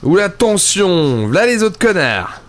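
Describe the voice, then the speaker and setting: A man's voice: high voice, very animated, a little slow, heavy background noise. A man doing a scornful, swearing voice-over narrator. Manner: contemptuous, swearing asides. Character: scornful foul-mouthed male voice-over narrator. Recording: loud music behind the voice. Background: loud music.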